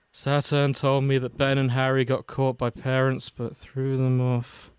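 A man's voice: mid-range voice, monotone, takes it slowly, a crowd in the background. A man co-hosting a podcast, reading fan fiction aloud with sarcastic asides and casual swearing. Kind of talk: casual chat with dramatic reading.

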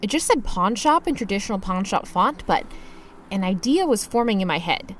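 A woman playing a scrappy, broke young mover who tells their misadventures in an expressive, slangy, playfully dramatic comedy audio-drama style. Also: medium pitch, normal speed, slight background noise, close to an everyday voice. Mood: dejected, musing. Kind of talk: first-person narrated comedic audio drama with acted dialogue.

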